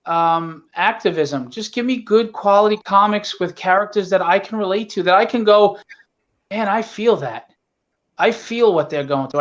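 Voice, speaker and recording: A man's voice: high voice, fairly bright tone. A man speaking casually and bluntly as a guest comic writer, earnest and opinionated, with salty language, over an online call. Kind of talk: casual, opinionated podcast talk. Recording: dull, lo-fi online call audio.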